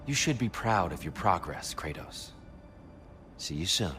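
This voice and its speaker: A wise mentor god counsels in a calm, measured voice. His tone sits low and even. Game music plays behind. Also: heavily acted.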